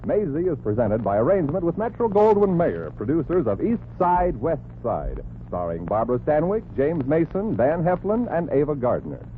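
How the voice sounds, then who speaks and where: A male radio announcer with a smooth, declamatory delivery, introducing and closing the show. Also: fairly high pitch, huge pitch swings, moderate pace, few pauses. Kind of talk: formal announcing. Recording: tinny old radio broadcast with music.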